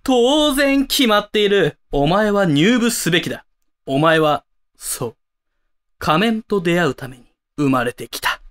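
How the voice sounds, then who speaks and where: A man's voice: sits high, big pitch swings, measured pace, a bit bright, clean tone, full character voice. A man playing a bossy, grandiose student club president in a comedic voice drama, with a pompous, commanding delivery. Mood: solemn, insistent. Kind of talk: comedic anime-style voice drama acting. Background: background music.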